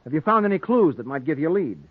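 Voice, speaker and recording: An actor playing a dogged young newspaper reporter with an American accent in 1940s radio noir style. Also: male voice, on the high side, sing-song, racing, no echo. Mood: pressing, insistent. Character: dogged young newspaper reporter narrating his crime story. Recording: muffled narrow-band 1940s radio recording, music behind.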